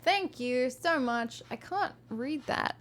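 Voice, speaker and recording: Woman, live-stream book reading, fairly high voice, chatty, friendly, lots of ums. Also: animated, takes it slowly, a bit bright, a little hiss or hum.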